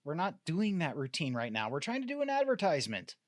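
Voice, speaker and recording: A man with a high, lively voice delivers a scripted comedy promo as the straight man. The sound is very dry, and his pitch moves around a lot. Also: a little fast, no echo.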